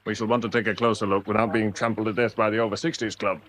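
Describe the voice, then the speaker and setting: A man's voice: fairly low pitch, little pitch movement, quick pace, fairly bright tone, slight background noise, close to an everyday voice. A man with an English accent playing a brusque, sardonic chief inspector in a radio drama, with curt, dry questioning. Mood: brusque, suspicious.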